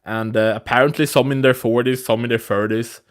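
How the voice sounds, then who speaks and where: Young male streamer, 20s, mid-pitched, casual rambling chat with lots of restarts, recorded on a phone. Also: fairly even intonation, a little fast. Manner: rambling, many restarts and fillers. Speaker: livestream host.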